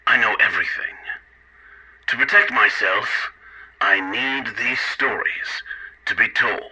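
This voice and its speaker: A man playing an ominous, all-knowing keeper of dark stories in a theatrical horror audio drama, raspy and deliberate. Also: on the low side, muffled recording, slight background noise. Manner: slow, deliberate. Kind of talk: theatrical horror anthology introduction.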